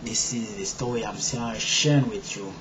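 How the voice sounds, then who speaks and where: A man from Haiti with a Haitian accent, telling his faith story to his viewers in a rambling way with many fillers, moving from soft and halting to loud and forceful. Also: on the low side, steady tone, background noise. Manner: rambling, many "you know" fillers, quiet at first and growing loud and emphatic. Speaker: video blogger. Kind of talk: personal testimony to camera.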